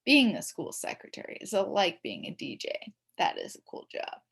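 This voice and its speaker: Animated, creaky-voiced woman reading a children's story. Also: mid-range voice, moderate pace. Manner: theatrical, emphatic, playing a mischievous child narrator. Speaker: storybook reader. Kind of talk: expressive scripted children's story narration.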